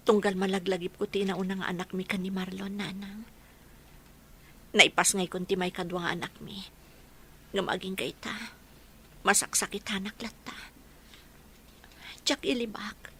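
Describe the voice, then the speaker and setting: A woman's voice: mid-range voice, breathy edge. A woman playing an earnest, emotional young wife and mother in a radio drama, speaking respectfully with an Ilocano accent.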